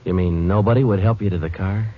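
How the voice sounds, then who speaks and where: An actor playing a terse, weary American detective sergeant in a deadpan old radio crime drama. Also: male voice, sits low, quick pace. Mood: terse questioning. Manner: clipped, deadpan. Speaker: narrator and lead actor. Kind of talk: 1940s-50s radio crime drama narration. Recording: muffled old radio recording, loud music.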